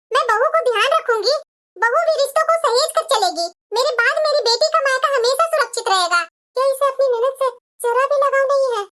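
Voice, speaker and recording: महिला की आवाज़ में बहुत ऊँचे सुर वाली ममतामयी सास. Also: female voice, extremely high pitch. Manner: gentle, lamenting. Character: older caring, wounded, patient mother-in-law. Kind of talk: scripted family story dialogue.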